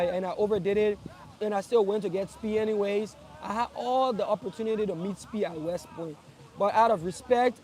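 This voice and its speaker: A man's voice: high-pitched, dark tone, slight background noise. A young man from Liberia, speaking earnestly to his followers in a casual live address, often repeating and restarting phrases. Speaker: streamer.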